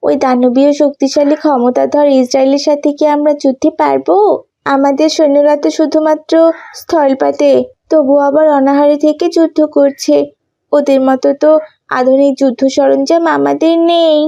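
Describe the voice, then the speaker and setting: Woman voicing high, plaintive young cartoon woman, muffled. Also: level tone, moderate pace, creaky, dark tone. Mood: doubtful, anxious. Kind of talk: cartoon dialogue acting.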